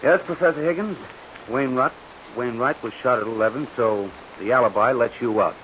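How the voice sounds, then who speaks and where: An actor playing a brash, skeptical newspaper reporter, punchy and slangy, in a vintage radio drama. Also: male voice, medium pitch, moderate pace, close to an everyday voice, rough recording. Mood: shrewd. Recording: vintage radio recording with rain noise. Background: steady rain.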